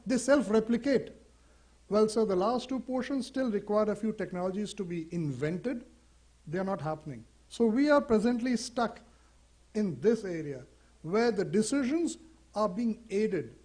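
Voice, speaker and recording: A man with a high-pitched voice and an Indian English accent speaks at a seminar. He talks in a loose, conversational way and keeps throwing rhetorical questions at the audience. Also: darkish tone. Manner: conversational, poses rhetorical questions, says "you know". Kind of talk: conference talk.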